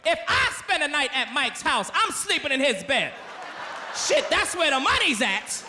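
An American man in his thirties doing stand-up comedy in a loud, animated, profane manner on a handheld microphone. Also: extremely high pitch, normal speed. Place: large theater stage with live audience. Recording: echoey theater PA, audience noise.

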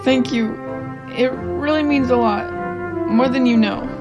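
A woman acting a grieving, volatile young man with big ambitions in an amateur radio play, emotional and halting when upset. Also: on the high side, loud music behind the voice, natural voice. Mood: overwhelmed.

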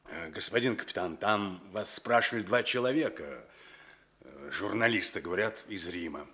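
Gruff bully of a sergeant, husky lowish male voice, 1960s radio drama. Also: animated, normal speed, sharp tone, full character voice. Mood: deferential. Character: gruff, bullying police sergeant deferring to his captain.

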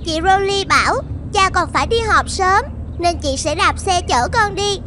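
Woman voicing a very high-pitched affectionate little schoolgirl. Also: moderate pace, slight background noise. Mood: polite, childlike. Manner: childlike, polite, expressive. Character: affectionate young schoolgirl who adores her father. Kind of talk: cartoon dubbing. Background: music.

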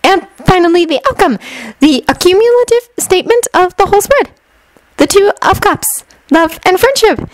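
A woman voicing a timid, excitable young schoolgirl fortune teller with an earnest, easily flustered way of talking. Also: very high-pitched, moderate pace, dry recording, pitched above the performer's own voice, poor audio.

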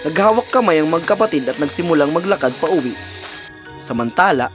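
Lively fairy tale storyteller. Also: mid-range voice, brisk, over music. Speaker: storyteller narrator. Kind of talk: scripted fairy tale narration.

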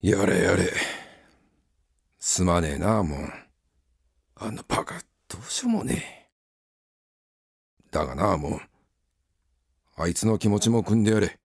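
A man acting a gruff, weary father with a husky, gravelly voice in a radio drama. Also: sits low, slow pace, pauses often, full character voice. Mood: weary, tender. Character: gruff, weary father of two grown children.

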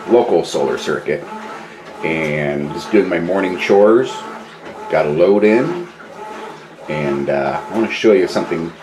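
An American man in his fifties giving a casual hands-on demonstration on a camera microphone in a garage workshop. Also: low voice. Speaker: DIY video host. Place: small cluttered garage workshop. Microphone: camera microphone at arm's length. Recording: handheld camera, clear background noise.

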